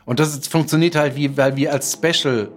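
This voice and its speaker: An author talking casually about writing, thoughtful, with halting restarts. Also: male voice, on the high side, steady tone, loud music behind the voice. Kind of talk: casual interview talk.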